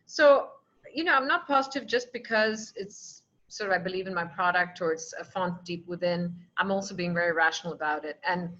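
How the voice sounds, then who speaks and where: Singaporean businesswoman on a webinar, bright forceful voice, kinda muffled lo-fi call audio, laughs a lot. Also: lowish voice, brisk. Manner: animated, reasoned, laughs often. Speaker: company CEO, association president. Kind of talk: virtual panel discussion. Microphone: video call. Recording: dull lo-fi video call audio.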